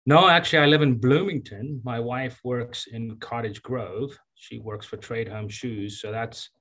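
A man in his fifties from Zambia, a county engineer giving relaxed, chatty interview answers with many fillers and restarts. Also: medium pitch, a little slow. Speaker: county engineer and public works director.

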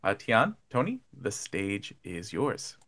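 A man's voice: fairly low pitch, wildly swooping pitch, slow, pushed and bright. A man hosting a tech webinar podcast, opening the episode in an upbeat, announcer-like way. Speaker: podcast host. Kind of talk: show introduction.

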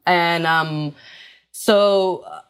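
Low-voiced Chilean woman, halting speech. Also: clear, resonant tone. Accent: Chilean Spanish. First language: Spanish. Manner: halting, many fillers and restarts. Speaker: artist. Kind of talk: interview monologue about her art.

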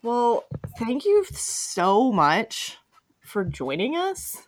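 A woman's voice: fairly high pitch, wildly swooping pitch, very slow. A woman hosting a podcast in a casual, chatty way, playful and enthusiastic. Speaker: podcast host.

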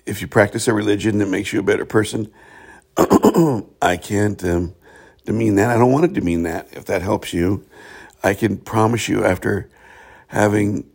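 A hoarse middle-aged man hosting a reflective spiritual talk show, speaking in a halting way with many pauses. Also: lowish voice, darkish tone. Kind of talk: reflective solo monologue.

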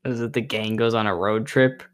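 A man's voice: lowish voice, steady tone, moderate pace, smooth. A man in a casual podcast chat with a friend, telling loose, rambling stories full of fillers, laughter and swearing. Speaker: podcast co-host.

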